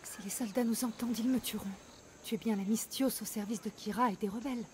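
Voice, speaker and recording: An actress playing a fearful beggar woman in a video game dub, speaking in a hushed, conspiratorial half-whisper. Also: fairly high pitch, fairly even intonation, quick pace, a little hiss or hum, close to an everyday voice. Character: fearful, secretive beggar woman of ancient Greece. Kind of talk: dubbed video game dialogue.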